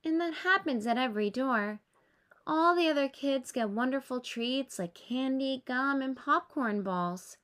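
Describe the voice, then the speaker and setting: A woman reading a children's story aloud with expressive narration aimed at young listeners. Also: high voice, measured pace. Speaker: storybook reader.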